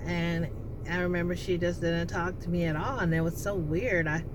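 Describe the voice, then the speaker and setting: A woman's voice: lowish voice, moderate pace. A woman with an alto voice, telling a personal story in a rambling, casual way, with many restarts and fillers. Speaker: vlogger. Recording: steady background noise with passing vehicle sounds.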